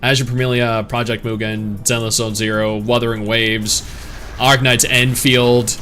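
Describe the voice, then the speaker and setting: A man's voice: medium pitch, little pitch movement, unhurried, bright, forceful tone, soft background music. A man streaming, chatting casually and opinionated as he reads and answers his live chat.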